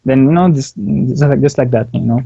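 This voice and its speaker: A man's voice: medium pitch, a little fast, vocal fry, dark tone, breathy edge, no room sound, muffled recording. A young man from Pakistan, a guest on a casual video call, talking hesitantly, repeating words and laughing. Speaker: guest beatboxer.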